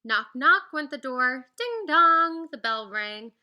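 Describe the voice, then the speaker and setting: A woman reading a children's picture book aloud in an animated, singsong storyteller's way, with teacherly asides. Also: high voice, slow, highs cut off.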